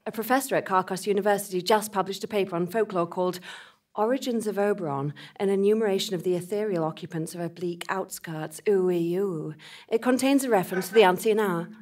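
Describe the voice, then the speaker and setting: A woman's voice: mid-range voice, quick pace, darkish tone, close to an everyday voice. A woman playing a curt, impatient computer hacker with a blunt manner in a live comedy audio drama. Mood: matter-of-fact. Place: on a small theatre stage before a seated audience. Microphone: stand microphone.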